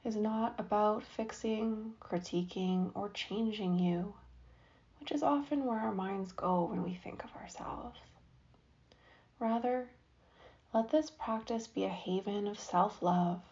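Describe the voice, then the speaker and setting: A woman leads a guided relaxation, speaking very slowly in a soft, soothing manner with long, patient pauses. Her voice is mellow and warm at a middle pitch. The recording is a little dull and lo-fi. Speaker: yoga nidra guide. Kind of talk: guided meditation.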